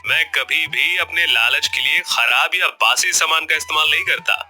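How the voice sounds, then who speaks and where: A man playing a greedy, smooth-talking village biryani seller in a cartoon story, with emphatic, expressive delivery. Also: fairly high pitch, normal speed, soft background music. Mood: humble, friendly. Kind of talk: Hindi cartoon story voice acting.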